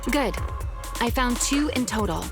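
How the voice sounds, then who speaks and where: A woman's voice: fairly high pitch, expressive, unhurried. A woman playing a composed, teasing young woman fighter with a hidden past, in theatrical video game acting. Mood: composed, explaining. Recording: music under the voice.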